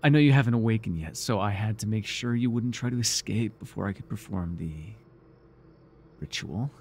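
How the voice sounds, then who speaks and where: A man playing a devoted, obsessive, fawning cult follower in a theatrical, halting ASMR roleplay. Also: on the low side, darkish tone. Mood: giddy, fawning. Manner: theatrical, breathy sighs, nervous chuckles, halting. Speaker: voice actor.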